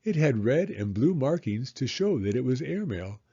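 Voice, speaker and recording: A man reading a children's story aloud as an expressive narrator who acts out the dialogue. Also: medium pitch, normal speed, breathy edge, muffled recording.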